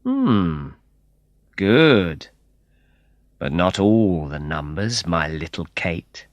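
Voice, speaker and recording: A man voicing a cold, menacing male crook who drawls his threats slowly in a storybook reading. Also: low-pitched, big pitch swings, no echo, lo-fi sound, voice pushed down, exaggerated voice. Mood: sneering. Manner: slow, drawling and sneering.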